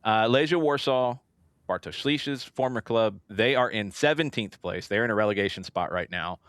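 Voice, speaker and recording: A man's voice: lowish voice, moderate pace, a bit bright, smooth, no echo, studio quality. A man hosting a sports show, talking casually through soccer match previews and steering the discussion.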